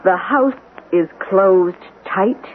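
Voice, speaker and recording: A woman's voice: mid-range voice, very slow, narrow, tinny audio. An actress playing an anxious, hospitable married woman, flustered and repeating words in a radio drama. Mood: worried. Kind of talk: old-fashioned radio drama acting. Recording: telephone-thin, noisy old radio broadcast.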